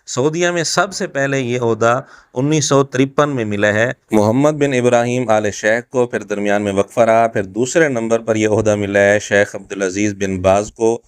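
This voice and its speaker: A man's voice: mid-range voice, clean tone, no room sound, studio quality. A man giving an explanatory rebuttal as a religious scholar, speaking methodically and emphatically and repeating his points. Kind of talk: explanatory rebuttal commentary to camera.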